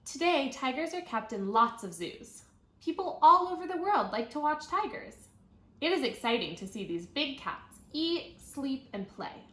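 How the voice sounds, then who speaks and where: High-voiced woman teacher reading aloud, echoey room. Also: big pitch swings, measured pace, a bit bright. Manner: instructional, thinking aloud, prompting young listeners with questions. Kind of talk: read-aloud of a children's nonfiction book with teaching asides. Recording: roomy echo, audible background noise.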